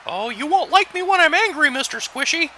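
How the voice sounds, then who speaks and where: A man's voice: very high voice, animated, fairly quick, heavy background noise. A man voicing a small, friendly, innocent teddy bear wrestler in a cartoon. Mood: warning.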